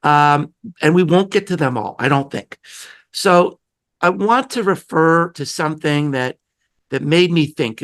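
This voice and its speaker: A man hosting a podcast interview, a little hoarse, talking in a chatty, rambling way with many restarts. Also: a little high, fairly bright tone. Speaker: podcast host. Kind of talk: casual conversational interview.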